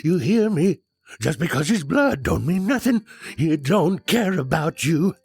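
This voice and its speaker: Man acting a raspy, pleading old captive. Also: fairly high pitch, sing-song, unhurried, a little mellow, no echo, faint music behind, exaggerated voice. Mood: persuasive. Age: older.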